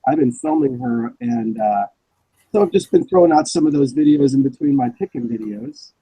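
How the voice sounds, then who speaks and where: A man chatting as a guest on a live stream over a video call, relaxed and hesitant. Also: on the high side, moderate pace, gravelly, breathy edge, poor audio. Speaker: guest art reseller. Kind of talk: casual live-stream chat.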